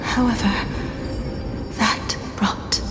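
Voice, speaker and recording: Mournful noblewoman in a game, slow n solemn, super echoey, music behind. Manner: formal, sorrowful, storytelling. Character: mournful noblewoman of a ruined city, solemn, pleading. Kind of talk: video game dramatic monologue. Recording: long echoing reverb under loud music.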